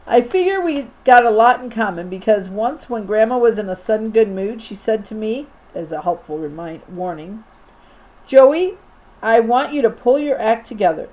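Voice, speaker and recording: A woman teacher reading a children's novel aloud in an expressive storytelling style for a young class. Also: mid-range voice, low-quality recording.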